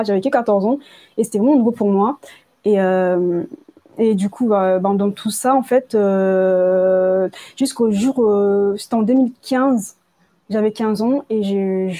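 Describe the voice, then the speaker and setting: Woman, late teens, mellow timbre, hesitant colloquial delivery, personal testimony, repeated words. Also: mid-range voice. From Congo, moved to France at age nine. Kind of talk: personal testimony, informal spoken storytelling.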